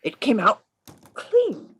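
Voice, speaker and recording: A woman playing a bold gentleman adventurer in a radio play, narrating his tale in an emphatic, theatrical way. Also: on the high side, wildly swooping pitch, slow pace, breathy edge, full character voice. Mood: tense narrating. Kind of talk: radio play reading, first-person narration. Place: remote reading over a video call.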